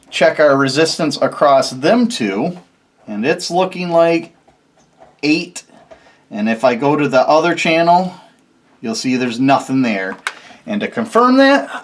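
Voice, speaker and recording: A man hosting a casual how-to video, explaining things step by step in an informal, folksy way. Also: fairly high pitch. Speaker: host of a DIY car electronics video.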